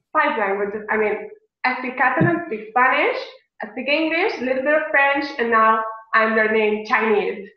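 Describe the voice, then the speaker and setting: An eighteen-year-old woman from Colombia, chatting casually in a language exchange call in her second-language English, often laughing. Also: a little high. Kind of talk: casual video call chat. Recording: echoey room, dull lo-fi sound.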